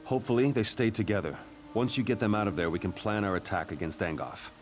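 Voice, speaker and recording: An actor playing a stern, blunt military commander in a fantasy game, speaking gravely over music. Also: male voice, lowish voice, quick pace, lightly acted. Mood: exasperated, concerned. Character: stern male military commander fighting a necromancer. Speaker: game character. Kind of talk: scripted fantasy game dialogue.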